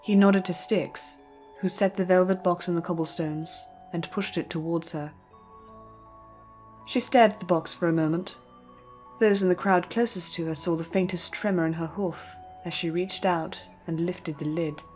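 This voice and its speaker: A woman's voice: on the low side, fairly even intonation, moderate pace, a little mellow. A woman reading scripted storybook narration as the narrator. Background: music.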